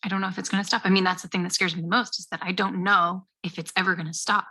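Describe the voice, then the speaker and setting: An actress playing an anxious young woman who vents in halting, emotional bursts about pressure in her head. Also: lowish voice, little pitch movement, sharp tone, slightly rough, heavily acted. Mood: frustrated. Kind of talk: short comedy film acting.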